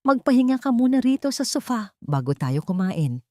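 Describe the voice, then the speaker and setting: A woman voicing a gentle, loving wife who speaks tenderly while holding back her pain in an audio story. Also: on the high side, very animated, normal speed, dry recording, studio quality, pitched above the performer's own voice. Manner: tender, soothing, restrained.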